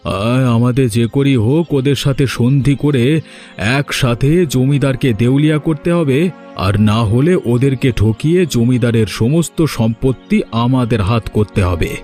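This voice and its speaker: A man's voice: medium pitch, measured pace, mellow, lightly acted. A man voicing a greedy, scheming village husband in a cartoon, speaking to his wife in a conspiratorial way. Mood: plotting. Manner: conspiratorial, reassuring. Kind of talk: animated cartoon dialogue. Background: background music.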